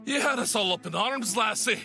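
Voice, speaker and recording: A woman playing a gruff but fond pirate crew member, in an audio adventure drama. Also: a little high, a little fast, sharp tone, soft background music. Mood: scolding.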